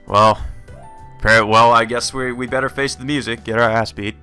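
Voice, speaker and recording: A man's voice: medium pitch, fairly even intonation, moderate pace, bright, forceful tone, clear, resonant tone. A man hosting a let's play, reading visual novel dialogue aloud in an informal way and adding asides. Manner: informal, slips into character voices. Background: video game music.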